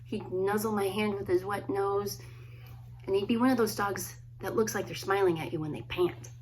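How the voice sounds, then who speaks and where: A woman, a children's author, reading a story aloud expressively, with dramatic pauses and hushed emphasis. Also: mid-range voice, steady tone, a little hiss or hum, poor audio. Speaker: children's book author reading own work. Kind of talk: expressive storybook read-aloud, friendly introduction.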